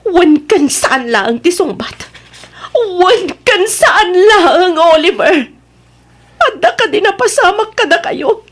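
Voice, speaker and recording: A woman acting a betrayed, heartbroken and furious wife in an Ilocano radio drama, with tearful, drawn-out accusations. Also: very high-pitched, sing-song, fairly bright tone, exaggerated voice. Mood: hurt, accusing.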